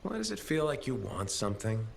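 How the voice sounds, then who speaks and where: A man's voice: mid-range voice, very animated, fairly quick, creaky. A man playing a wry, guarded freelance mercenary in video game cutscene acting, speaking in a dry, sardonic, evasive way.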